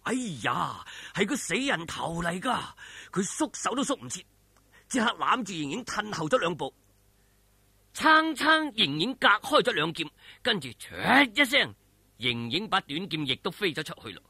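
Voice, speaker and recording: A male storyteller with a Hong Kong Cantonese accent delivers a martial arts tale with very animated pitch. His voice is husky and gravelly, and he adds exclamations and mouth-made sound effects in a colloquial, dramatic style. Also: normal speed, no room sound.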